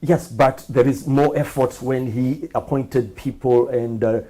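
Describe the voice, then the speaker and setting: A man talks as a guest in an interview, his voice warm and dark with a raspy grain. He explains his points at length in a measured, firm way. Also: mid-range voice, steady tone, low-quality recording. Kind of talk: conversational interview.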